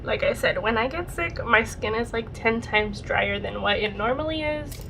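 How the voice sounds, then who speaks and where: A young woman vlogger chatting casually through a makeup routine, her voice a bit raspy and her nose congested from a cold. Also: on the high side, animated, normal speed, fairly bright tone, slight background noise, poor audio. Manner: chatty, rambling, lots of "like" and "super". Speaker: beauty vlogger. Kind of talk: casual makeup tutorial chat.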